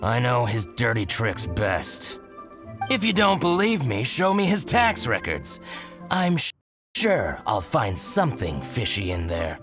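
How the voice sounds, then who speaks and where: Jealous schemey merchant for a game, super swoopy delivery, slow n dramatic, loud music behind. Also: male voice, medium pitch, wildly swooping pitch. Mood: indignant. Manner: exaggerated, grumbling, gloating. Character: scheming, envious male shopkeeper, theatrical and excitable. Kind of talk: video game voice acting.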